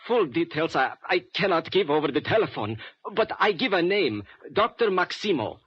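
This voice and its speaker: An actor playing a frightened, formal professor, speaking haltingly in an old radio drama. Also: male voice, a little high, sing-song, breathy edge. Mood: anxious, secretive. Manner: halting, formal, urgent speech. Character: frightened foreign professor in his sixties, defecting, formal. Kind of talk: 1950s radio drama acting. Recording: muffled old radio recording.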